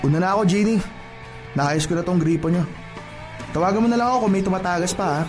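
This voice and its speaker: An actor playing an earnest, caring plumber who gives admonishing advice in a radio drama. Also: male voice, high-pitched, darkish tone, close to an everyday voice. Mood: curt, hurt. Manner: admonishing, deliberate advice-giving. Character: earnest, caring plumber, a man scolding a friend. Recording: music behind the voice.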